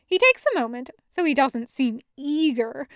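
A woman reading a story aloud as an expressive audiobook narrator. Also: sits high, moderate pace, no room sound, studio quality. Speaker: audiobook reader of fan fiction. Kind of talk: expressive scripted fiction narration.

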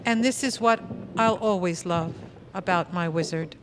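A woman playing a wry, fond, ageless sorceress who narrates a comic tale in a deliberate, dramatic manner. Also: on the low side, noisy background, close to an everyday voice. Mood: fond, exasperated. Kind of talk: comic storytelling narration in audio theatre. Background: music.

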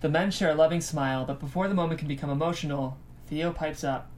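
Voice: mid-range voice, fast talker, a little mellow, a little hiss or hum. A narrator reading scripted stage directions aloud at a table read.

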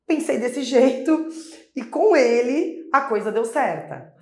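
A middle-aged woman, a mother being interviewed, talks warmly and animatedly, acting out quoted voices as she tells her story. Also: high voice, animated, slow. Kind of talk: conversational interview. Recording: echoey room.

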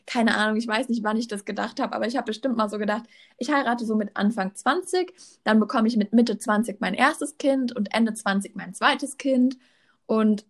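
A student in her early twenties talking casually with a friend. Her voice is a touch high, and she rambles, backtracks and laughs easily. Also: normal speed. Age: 20s. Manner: rambling, self-correcting, laughs often. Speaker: student, co-host. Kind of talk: casual chat.

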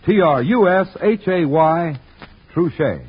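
Husky, animated 1940s radio announcer man. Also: on the high side, slow pace. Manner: emphatic, formal, salesmanlike. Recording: dull, scratchy 1940s radio recording.

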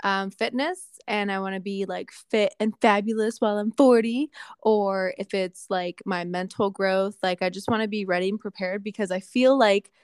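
A woman's voice: medium pitch, steady tone, normal speed, clear, resonant tone, dry recording, clean studio sound. A woman in her late thirties chatting openly and with self-deprecating humor, with lots of fillers. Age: 30s. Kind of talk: casual chat.

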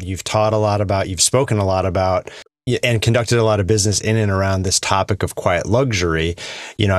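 A man's voice: lowish voice, no room sound. A man hosting a podcast, asking long rambling questions that circle back on themselves. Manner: rambling, restarts questions with repeated phrases. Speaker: podcast host. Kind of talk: podcast interview. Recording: dry close mic with faint music.

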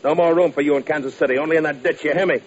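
An American actor playing a boastful, jealous gangster boss in a radio crime drama, terse and insistent, questioning in short bursts. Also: male voice, fairly high pitch, fairly even intonation, rapid-fire, lightly acted. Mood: threatening. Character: menacing male bootleg-era gangster boss, boastful, jealous husband. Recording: dull, noisy vintage radio broadcast.

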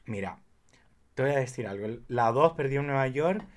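Male podcast co-host, Chilean accent, mid-pitched. Casual, confessional delivery with a playful camp manner. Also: lively intonation, normal speed. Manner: playful, campy, drops English phrases. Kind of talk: casual, confessional chat about films.

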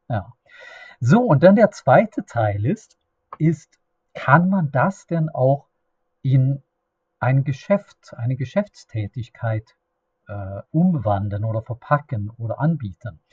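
A middle-aged man with a Swedish accent, a native Swedish speaker, hosting a solo podcast in a halting, unscripted way, often restarting and searching for words. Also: mid-range voice, slightly rough, dry recording, dull audio. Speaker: podcast host.

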